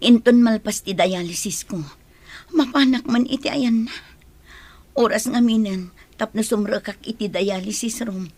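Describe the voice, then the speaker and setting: A woman acts an anxious mother in a radio-style drama. Her voice sits fairly high, and she speaks with an Ilocano Filipino accent and a worried manner. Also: measured pace, darkish tone. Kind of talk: audio drama acting.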